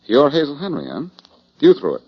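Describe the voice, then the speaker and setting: Confident probing sleuth, swooping intonation, breezy, lo-fi 1940s radio detective drama. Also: male voice, medium pitch, wildly swooping pitch, brisk, breathy edge. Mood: challenging. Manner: probing, wry. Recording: muffled lo-fi 1940s radio broadcast.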